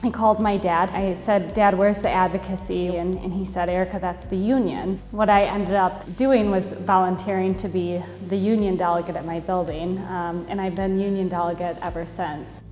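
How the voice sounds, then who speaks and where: A woman's voice: fairly low pitch, steady tone, moderate pace, a little mellow. A middle-aged woman with an American accent, a teacher telling her personal story. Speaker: public school teacher, candidate. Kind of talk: personal testimony in a campaign video. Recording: long reverberant room, faint background noise.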